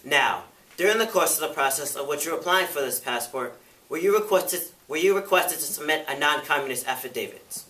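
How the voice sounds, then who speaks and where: Stern congressional interrogator acted by a man in a recital, official, insistent, bright forceful voice, echoey space. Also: on the high side, lively intonation, brisk. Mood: brisk, official. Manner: official, formal, pressing. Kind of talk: dramatic prose interpretation. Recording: echoey room, picked up from far off.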